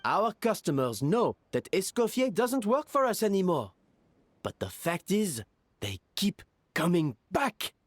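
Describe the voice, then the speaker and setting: A voice actor playing a kind kitchen staffer who speaks calmly and encouragingly. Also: mid-range voice, unhurried, no echo. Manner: calm, encouraging. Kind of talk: video game voice acting.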